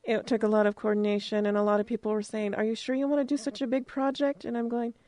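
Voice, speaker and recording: A woman's voice: fairly high pitch, flat delivery, fast talker, dark tone, dry recording. An American woman hosting a casual interview, talking in an informal, chatty way. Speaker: interviewer, radio drama producer.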